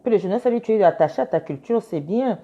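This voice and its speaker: Earnest woman, mellow dull-sounding voice. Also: mid-range voice, animated, quick pace. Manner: earnest, switches between French and English; insistent, repeats key phrases. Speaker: lawyer. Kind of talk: casual commentary.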